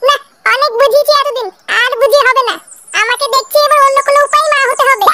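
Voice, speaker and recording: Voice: extremely high pitch, steady tone, quick pace, heavy background noise. A performer voicing a nagging, pleading young wife in a crude comic cartoon, with whining, insistent complaints. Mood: aggrieved.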